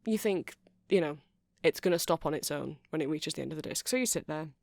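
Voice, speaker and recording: Low, creaky-voiced woman doing ASMR. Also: animated, fairly quick, darkish tone, no echo, clean studio sound. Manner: rambling, chatty, explains things step by step. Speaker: ASMR creator. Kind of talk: soft-spoken ASMR ramble and demonstration.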